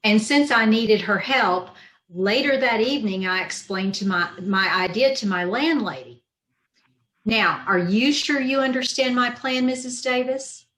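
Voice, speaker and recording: In a roomy, echoing recording, an actress plays a wry schoolteacher scheming her way through an old-time radio comedy. Her voice sits fairly high and she lands her deadpan quips at an unhurried pace. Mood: scheming, confiding. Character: wry, scheming, man-struck schoolteacher woman.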